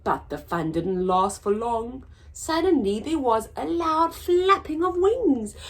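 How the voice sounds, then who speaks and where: A woman hosting a children's story time, reading a picture book aloud in an expressive, sing-song way for small children. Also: a little high, slow pace.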